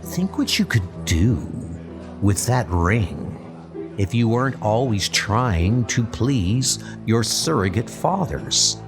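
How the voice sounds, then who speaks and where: A man voicing a scheming, seductive sorceress in a comic book dub, speaking in a drawn-out, persuasive way. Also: on the low side, very animated, exaggerated voice. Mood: manipulative. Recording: music bed under the voice.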